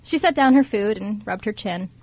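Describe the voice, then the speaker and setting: A woman's voice: mid-range voice, lively intonation, normal speed, a little mellow. A woman reading scripted narration as the narrator of an amateur radio drama.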